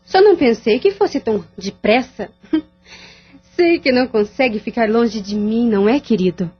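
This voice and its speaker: A woman's voice: fairly high pitch, lively intonation, slightly rough, muffled recording, soft background music. A woman acting a scheming, seductive and cruel mistress in an audio soap opera, with a taunting, mocking drawl. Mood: coy, teasing. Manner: taunting, drawn-out, laughing scornfully.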